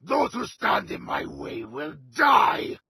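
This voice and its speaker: A man voicing a vengeful, wrathful militant commander in a video game, gravelly and commanding, delivering menacing combat lines. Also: very high-pitched, wildly swooping pitch, slow, heavy background noise, full character voice. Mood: furious. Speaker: playable game character.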